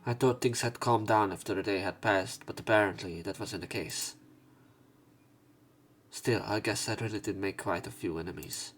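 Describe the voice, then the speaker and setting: A man doing a let's play, reading visual novel narration and dialogue aloud, somewhat haltingly, correcting himself as he goes. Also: fairly low pitch, fairly bright tone. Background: game music.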